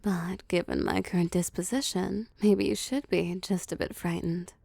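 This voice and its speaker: A woman voicing a seductive, possessive vampire boss in an intimate audio roleplay, cooing and teasing softly and often slipping into whispers. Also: lowish voice, steady tone, moderate pace, creaky, husky, no echo, exaggerated voice. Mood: playful menace. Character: seductive, possessive female vampire CEO pampering her prey. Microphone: close, dry microphone.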